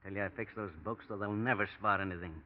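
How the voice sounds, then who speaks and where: A man's voice: fairly low pitch, steady tone, fast, close to an everyday voice. A man playing a breezy, overconfident forger in a 1940s radio noir, offhand and dismissive. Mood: defensive, cocky. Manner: offhand, brushing off worries. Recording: muffled old radio recording.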